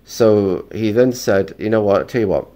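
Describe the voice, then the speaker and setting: A man hosting a casual record haul vlog, talking in a chatty, rambling way with lots of ums, his voice croaky and stuffy from a cold. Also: on the low side, normal speed, dark tone. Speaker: vinyl collecting vlogger.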